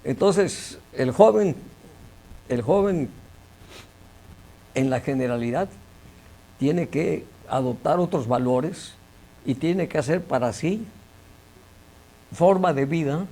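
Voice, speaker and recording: An older Mexican man with a gravelly, fry-edged voice that sits a little high for a man. He speaks as an interview guest, measured and emphatic, often repeating a word while he finds the next one. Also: animated, mellow, rough recording. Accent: Mexican Spanish. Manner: measured, emphatic, repeats words when searching. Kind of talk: conversational interview.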